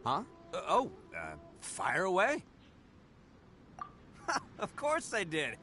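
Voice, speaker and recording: A man's voice: high-pitched, huge pitch swings, takes it slowly, pushed and bright, faint music behind, exaggerated voice. A man playing a cocky, boisterous young brawler hero in an anime-style video game, brash and slangy. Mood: caught off guard, then defensive, determined.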